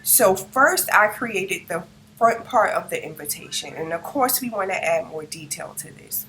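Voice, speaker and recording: A hoarse woman narrating a step-by-step design tutorial, describing each click as she works and checking in with the viewer. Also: mid-range voice, bright, forceful tone, a little hiss or hum, rough recording. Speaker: crafting tutorial host. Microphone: no microphone, device's built-in mic.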